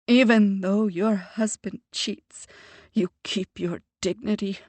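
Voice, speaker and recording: Woman voicing a dignified older Jamaican mom, formal and commanding, husky, slow storybook delivery. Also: medium pitch, steady tone, a little mellow, no echo. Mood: earnest. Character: proud composed older Jamaican mother. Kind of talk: audiobook character voice.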